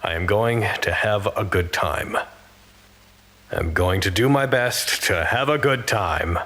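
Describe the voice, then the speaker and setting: A man's voice: fairly low pitch, takes it slowly, a bit bright. A man playing an earnest, anxious facility worker who reports to command in a wordy, formal audio drama monologue. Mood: nervous, resolute.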